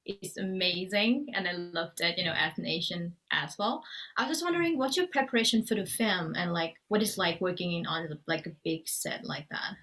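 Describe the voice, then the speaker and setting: A woman hosting an interview, asking halting questions with frequent fillers. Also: on the low side, animated, a bit bright, poor audio. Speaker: interviewer, host.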